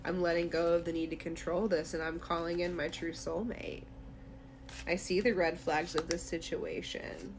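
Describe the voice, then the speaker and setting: A woman's voice: on the low side, flat delivery, moderate pace, noisy background. A woman tarot reader speaking directly to the listener in a conversational, reassuring, therapy-minded way during an intuitive reading.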